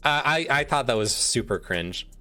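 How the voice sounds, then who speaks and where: A man's voice: medium pitch, expressive, moderate pace, sharp tone, faint music behind. A man livestreaming in a casual, sweary way, rambling and often restarting his sentences as he reads out statements and reacts to them. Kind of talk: casual chat, reading quiz statements and reacting.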